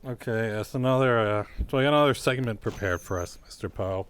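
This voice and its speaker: A man hosting an improvised comedy interview in a casual, deadpan way with mumbled asides. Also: mid-range voice, brisk. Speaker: talk show host.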